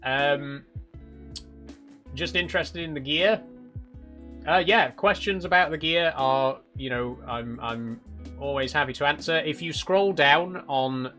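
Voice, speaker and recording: A man's voice: fairly high pitch, a little slow, sharp tone. A British man chatting casually and politely to viewers as a livestreamer. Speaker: live streamer. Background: background guitar music.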